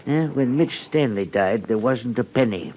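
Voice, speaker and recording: Man acting a small town official, folksy n earnest, bit flustered, medium pitch, old muffled radio show sound. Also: expressive, moderate pace, close to an everyday voice. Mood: informative. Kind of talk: 1950s radio drama acting. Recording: muffled vintage 1950s radio recording.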